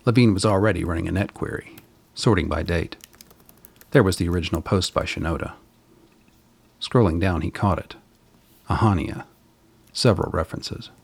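A man narrating a scripted radio drama in a measured, literary manner, with a gravelly, husky voice. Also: deep voice, dry recording.

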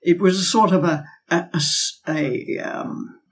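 Husky-voiced woman making a pointed case. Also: on the low side, unhurried, faint music behind. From Australia. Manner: emphatic, pointed, with rhetorical questions. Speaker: guest, former diplomat and academic. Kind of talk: podcast interview, arguing a case.

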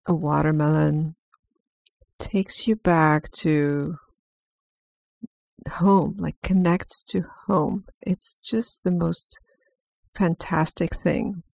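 A woman giving a gentle, heartfelt interview answer. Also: lowish voice, gravelly, no room sound, low-quality recording. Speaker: seed collective member.

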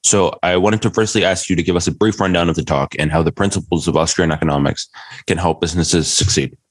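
A man's voice: sits low, steady tone, quick pace, dry recording. A man hosting an economics podcast, reading his introduction and questions from a script. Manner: reads prepared questions smoothly. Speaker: podcast host.

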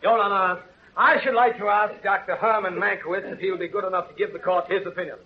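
A man plays a pompous prosecuting lawyer in a 1930s radio drama. He declaims in a high, insistent voice and badgers as he goes. The recording is dull, with faint noise. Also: fairly even intonation, fast talker, close to an everyday voice. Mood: formal, pompous.

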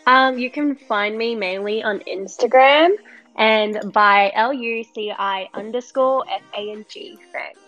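A woman's voice: fairly high pitch, measured pace, a bit bright, no echo, muffled recording, faint music behind. A woman with an Australian accent chatting casually as a podcast guest. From China and Australia. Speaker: podcast guest, model and influencer. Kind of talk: casual chat.

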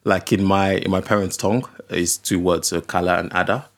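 A young man from Nigeria, a podcast guest, talking in a relaxed, unhurried way with frequent ums and uhs. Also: sits low. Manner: relaxed, hesitant with fillers, laughs easily. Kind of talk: casual conversation.

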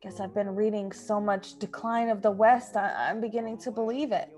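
A woman's voice: medium pitch, normal speed, a little mellow, lightly acted. A woman playing a weary, jealous young dog sitter in a comedic radio play. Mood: exasperated. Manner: put-upon, exasperated.

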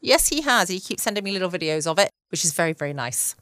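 A woman with a southern English accent hosting a relaxed, chatty bedtime podcast. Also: lowish voice, fast talker, dry recording. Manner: informal, chatty. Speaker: podcast host. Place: home.

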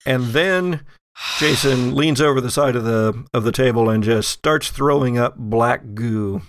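A man narrating a horror tabletop game as game master, in a deliberate, descriptive, suspenseful storytelling way. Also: mid-range voice, dry recording.

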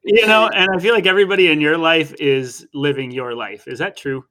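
A man's voice: fairly high pitch, brisk, bright, forceful tone, poor audio. A man co-hosting a podcast, asking questions in a joking, teasing way. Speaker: podcast co-host. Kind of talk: casual podcast interview.

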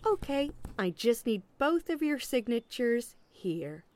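Polite official lady agent, very formal and measured, radio play style. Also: on the high side, very animated, background noise. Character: polite, formal woman government agent on doorstep duty. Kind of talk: audio play acting.

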